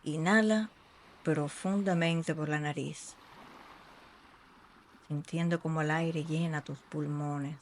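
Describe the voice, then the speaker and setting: A meditation guide speaking in a soothing, unhurried way with long pauses between short phrases. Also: medium pitch, fairly even intonation, a little hiss or hum. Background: ambient sea sounds.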